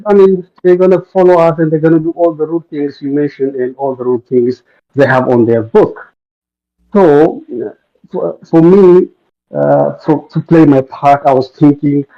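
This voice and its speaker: A man from Ethiopia whose first language is Amharic, calling in to a live online show and talking hesitantly, with many fillers and restarts. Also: mid-range voice. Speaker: caller. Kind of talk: casual live call-in chat. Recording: dull lo-fi online call.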